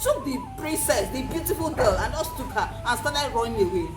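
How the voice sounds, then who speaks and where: High-pitched Nigerian woman storyteller over music. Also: animated, brisk. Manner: theatrical, repeats phrases, puts questions to the listeners. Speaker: storyteller and host of a folktale show for children. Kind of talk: interactive children's storytelling.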